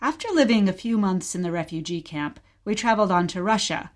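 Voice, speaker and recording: A woman acting a gentle, patient mother who tells stories of her childhood in a scripted radio play. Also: medium pitch, fairly quick, lightly acted. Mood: patient storytelling. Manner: patient, storytelling.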